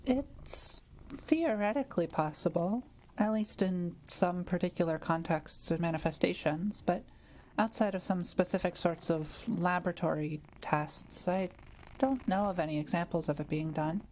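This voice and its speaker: Kind forest witch played by a woman, intimate roleplay; soft mellow tone, hesitant and gentle, faint noise behind the voice. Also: on the low side, moderate pace, natural voice, poor audio. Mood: curious, coaxing. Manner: gentle, hesitant, softly teasing. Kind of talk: intimate audio roleplay, speaking to the listener.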